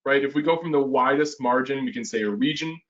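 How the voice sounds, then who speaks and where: A man's voice: mid-range voice, fast talker, a bit bright. A man presenting a business webinar in a casual way, full of "uh" fillers, restarts and a tag "right?". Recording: slightly dull webinar audio.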